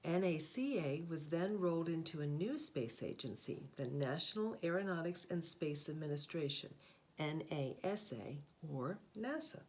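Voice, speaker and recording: A woman reading a children's picture book aloud, with deliberate, expressive phrasing and short explanatory asides. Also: low-pitched. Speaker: read-aloud host, storyteller.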